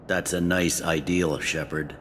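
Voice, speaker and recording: Game role: world-weary police captain, very low voice, plain-spoken and in charge, slight background noise. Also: male voice, fairly even intonation, unhurried, fairly bright tone. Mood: frank, weary. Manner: matter-of-fact, authoritative. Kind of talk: video game voice acting.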